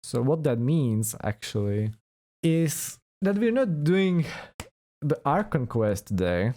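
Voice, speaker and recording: Slow, wry gamer musing aloud. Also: male voice, medium pitch, sing-song, warm tone. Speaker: gaming let's play host. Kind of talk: casual playthrough commentary. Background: video game music.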